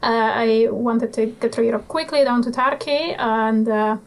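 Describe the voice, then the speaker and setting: A woman in her twenties from Poland with a Polish accent, chatting as an interview guest in a laid-back, nonchalant way, pausing often with fillers. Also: on the high side, creaky. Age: 20s. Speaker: guest motorcycle traveller. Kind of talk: casual interview chat.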